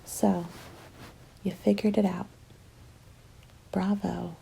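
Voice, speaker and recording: A woman playing a cynical, scheming apocalypse survivor in an ASMR roleplay, breathy and often whispering, taunting and self-justifying. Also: medium pitch, level tone, slow pace, warm tone, a little hiss or hum, poor audio. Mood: scornful, coaxing. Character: cynical, defiant woman survivor hoarding food, selfishly scheming.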